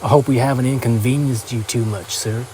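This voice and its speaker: A man's voice: medium pitch, steady tone, fairly quick, dark tone, slight background noise, close to an everyday voice, poor audio. A man voicing a polite, formal billionaire space scientist in a motion comic. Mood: courteous. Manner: courteous, formal. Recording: music under the voice.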